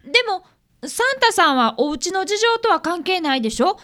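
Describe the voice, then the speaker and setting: A woman plays an eager young boy detective in a radio play. The voice is very high, bright and lively in pitch. He speaks politely and earnestly and takes his failures hard. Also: normal speed, full character voice. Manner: polite, earnest, deferential speech. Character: earnest fifth-grade boy, apprentice detective, polite and self-reproachful. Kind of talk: radio drama acting with narration.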